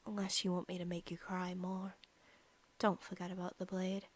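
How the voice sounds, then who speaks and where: A young woman playing a possessive female vampire student in an intimate ASMR roleplay, softly spoken, partly whispered, coy and taunting. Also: on the low side, monotone, breathy edge. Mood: cruel, taunting. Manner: soft, slow, coy talk sliding into taunting. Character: female student vampire, possessive, sweet-talking, menacing predator.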